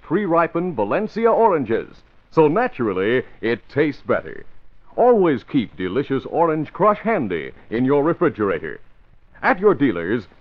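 A man announcing and narrating in dramatic, emphatic 1940s radio style, on a muffled old broadcast recording. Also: on the high side.

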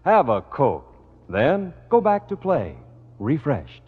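A man's voice: fairly high pitch, wildly swooping pitch, takes it slowly, over loud music. A man reading scripted copy as a classic radio announcer and host, with a polished, formal delivery. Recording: old radio broadcast, muffled, long reverb.

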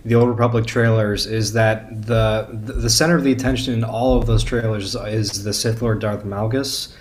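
A man's voice: on the low side, steady tone, moderate pace, slight background noise. A man speaking as a podcast guest in casual conversation, often repeating words as he builds his point. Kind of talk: casual chat.

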